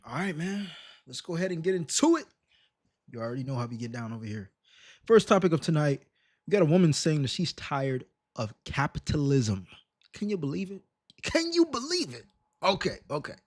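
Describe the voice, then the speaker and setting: A man hosting a casual reaction livestream, slangy and emphatic with rhetorical questions, in a slightly raspy voice. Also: a little high, big pitch swings, brisk, no echo, clean studio sound. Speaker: live stream host reacting to videos.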